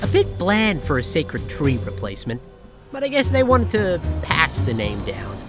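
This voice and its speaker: A man playing a skeptical, teasing young ghost-hunting companion in a visual novel dub, with drawn-out theatrical delivery. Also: on the high side, wildly swooping pitch. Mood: curious, teasing. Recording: music under the voice.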